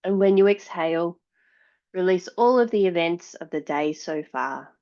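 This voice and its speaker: A woman yoga teacher giving guided meditation and yoga instruction in a calm, measured, soothing way. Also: fairly low pitch, muffled recording.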